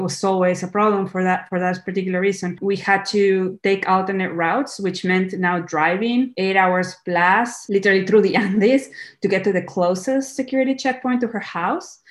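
A young woman, a documentary director, speaking earnestly in a podcast interview and often restarting her words. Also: medium pitch. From Peru. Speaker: documentary film director, guest.

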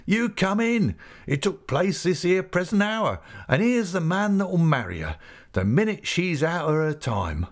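A man's voice: mid-range voice, sing-song, a little slow, pitched above the performer's own voice. A man voicing a hearty old male fisherman who talks in a broad rustic dialect. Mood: exultant.

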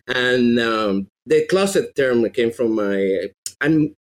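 A man speaking English with a Venezuelan Spanish accent, Spanish being his first language. He talks quickly and chats casually as a podcast guest, often laughing at himself. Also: mid-range voice, lively intonation. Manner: fast-talking, laughs easily, self-deprecating. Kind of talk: casual interview chat.

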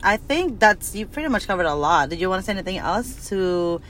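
A young woman with a deep voice hosting a casual podcast, laughing, teasing and swearing freely. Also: sing-song, brisk, fairly bright tone, slight background noise. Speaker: podcast host.

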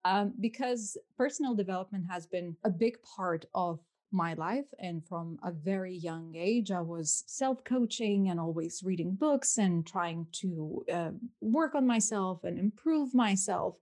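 A woman's voice: medium pitch, warm tone. A woman in her thirties with a Lithuanian accent, a native Lithuanian speaker, answering interview questions conversationally as a podcast guest and coach. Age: 30s.

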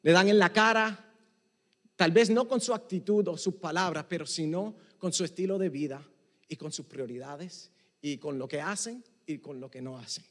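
A man with a Puerto Rican accent preaching a sermon, mixing Spanish with English and swinging from emphatic to hushed. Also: a little high, normal speed. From Puerto Rico. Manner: emphatic, rising and dropping to near hush. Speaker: pastor. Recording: long reverb of a hall.

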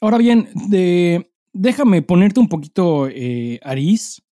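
Mellow-voiced Mexican man co-hosting a podcast. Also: sits high, takes it slowly, dry recording, studio quality. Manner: swears freely, slangy. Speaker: podcast co-host. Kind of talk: casual chat and listener-mail reading.

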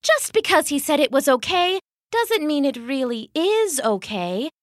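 A woman's voice: sits high, very animated, unhurried, no echo, studio quality, pitched above the performer's own voice. A woman doing a kind, earnest mummy.

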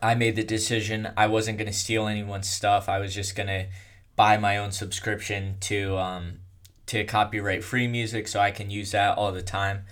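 A young man telling his own story in a casual, rambling way, with a monotone delivery and lots of fillers. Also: lowish voice, normal speed. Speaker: content creator.

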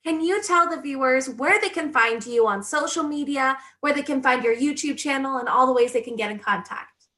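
Bright, polished woman host. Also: sits high, normal speed. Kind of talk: interview hosting.

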